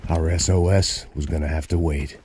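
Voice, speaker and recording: An actor playing a weary, cynical middle-aged American ex-cop, narrating in a deadpan noir style with a gravelly voice. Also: male voice, rumbling low, normal speed, a crowd in the background. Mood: wry, weary. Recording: loud music behind the voice. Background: game music.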